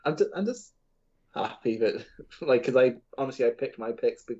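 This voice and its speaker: A man's voice: medium pitch, lively intonation, normal speed, mellow, slightly rough, lo-fi sound. A man co-hosting a podcast in casual banter, laid-back and chatty, with filler words and some swearing. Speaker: podcast co-host.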